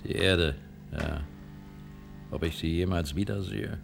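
A man playing a stern, weary starship commander and father in a science-fiction radio drama, gruff, halting and authoritative. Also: low voice, music in the background, full character voice. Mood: wistful, pensive.

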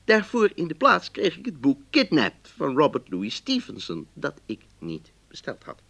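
An exasperated, courteous male customer in a radio drama. His husky, gravelly voice swings widely in pitch as he emphatically explains himself in a letter. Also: wildly swooping pitch, normal speed, a bit bright, exaggerated voice. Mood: earnest, aggrieved. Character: exasperated, polite male book-club customer writing letters. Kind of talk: radio play, letter read aloud.

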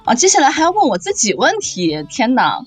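Animated woman chatting over music. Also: fairly high pitch, fairly quick, slightly rough. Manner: animated, expressive. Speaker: voice actor discussing her audio drama role. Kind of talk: casual panel chat about a radio drama.

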